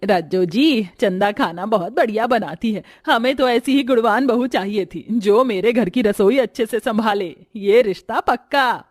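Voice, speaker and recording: Cartoon matriarch voiced by a woman: middle-aged mother-in-law, bossy, brisk, close dry recording. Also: fairly high pitch, dry recording, lightly acted. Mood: approving.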